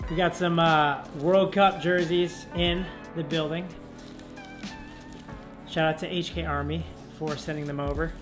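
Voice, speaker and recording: A young man in his twenties chatting casually in a vlog, laid-back and rambling, with many fillers, filmed at a desk at home. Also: a little high. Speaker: vlogger. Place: dim room at home, indoors. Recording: music playing behind.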